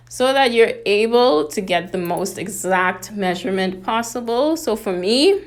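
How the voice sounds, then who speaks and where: A woman from the Bahamas with a Bahamian accent hosts a casual, chatty how-to craft tutorial, talking through each step. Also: medium pitch.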